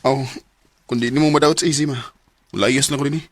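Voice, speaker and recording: An actor playing a devoted young son and expectant father, earnest and heartfelt, in a radio drama with a Cebuano accent and a husky voice. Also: medium pitch, fairly even intonation, fairly quick, dry recording, natural voice. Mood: defiant, apologetic.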